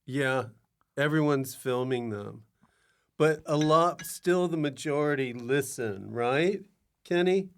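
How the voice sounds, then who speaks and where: A man's voice: mid-range voice, slow. A man hosting a podcast, chatting casually and jokily with his guest. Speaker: podcast host. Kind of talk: casual comic interview chat.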